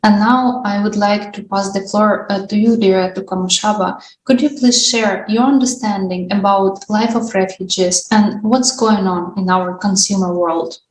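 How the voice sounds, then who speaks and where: A woman's voice: mid-range voice, steady tone, moderate pace, few pauses. A woman hosting a discussion in a formal way, passing between speakers. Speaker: discussion host. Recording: echoey room sound.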